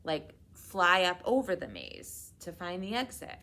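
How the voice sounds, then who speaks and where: A woman's voice: on the low side, very animated, measured pace, sharp tone, exaggerated voice. A woman voicing an enthusiastic young superhero boy in a children's storybook, in a wide-eyed, exclaiming manner. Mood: wistful grumbling.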